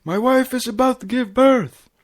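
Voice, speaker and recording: A man voicing an anxious, well-meaning young male pony husband, hurried and nervous, in a story reading. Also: extremely high pitch, pitched above the performer's own voice. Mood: urgent.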